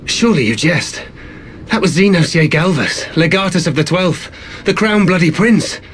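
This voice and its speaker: A brash and rattled soldier in a fantasy game. His voice is high and emphatic, agitated, over faint noise. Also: lively intonation, normal speed, fairly bright tone. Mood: incredulous, awed. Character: brash, incredulous, rattled male imperial soldier. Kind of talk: video game cutscene acting.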